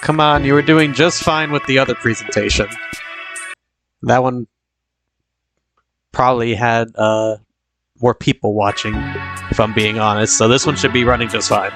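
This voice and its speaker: A man's voice: mid-range voice, fairly even intonation, moderate pace, pauses often, over music. A young man with a sniffly, congested voice from a cold, chatting casually as a live-stream host in a rambling way full of fillers and restarts.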